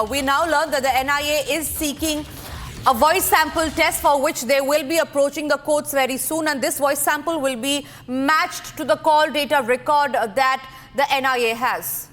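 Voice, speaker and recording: A woman's voice: sits high, steady tone, normal speed, fairly bright tone, a little hiss or hum. A woman with an Indian English accent anchoring live breaking news in an urgent, repetitive way.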